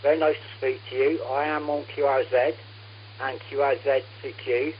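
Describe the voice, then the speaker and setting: A man's voice: medium pitch, level tone, normal speed. A man chatting politely and casually as an amateur radio operator over a radio contact. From England. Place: radio transmission. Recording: muffled radio link with humming noise.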